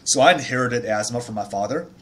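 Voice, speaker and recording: A man's voice: mid-range voice, animated, quick pace, fairly bright tone. A man in his thirties from Taiwan, a startup founder telling his life story in a casual interview, chatty with many fillers and restarts.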